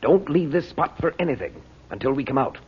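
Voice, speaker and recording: An actor playing a calm, determined, commanding adventurer uncle in a vintage radio drama. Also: male voice, fairly high pitch, fairly quick, breathy edge, full character voice. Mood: urgent, commanding. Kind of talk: 1940s radio drama acting. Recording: muffled old radio recording.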